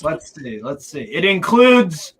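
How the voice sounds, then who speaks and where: A man's voice: on the high side, sing-song, takes it slowly, a bit bright, husky. A man in a casual watchalong chat who presses his point with pointed yes-or-no questions. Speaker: co-host of a football watchalong. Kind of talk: casual debate.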